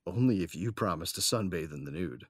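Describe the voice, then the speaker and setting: Casting: deep-toned adventurer and loving husband played by a man, intimate dry narration for audio drama. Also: lively intonation, a little fast, no echo. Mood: playful, tender. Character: devoted, playful husband and adventurer narrating his story.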